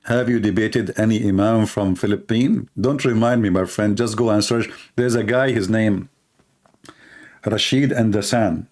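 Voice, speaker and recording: A man's voice: fairly low pitch, moderate pace, darkish tone. A man hosting a live stream, talking in a sarcastic, mocking way and mimicking others in funny voices. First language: Arabic.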